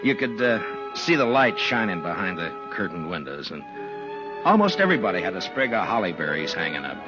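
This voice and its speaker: A deep, expressive male voice as a modest frontier marshal in a vintage radio western. He talks in a plain, easygoing, hesitant way, on a dull old recording with music. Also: brisk. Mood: warmly reminiscing. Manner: conversational, hesitant, plain-spoken. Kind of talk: 1950s radio western acting and narration.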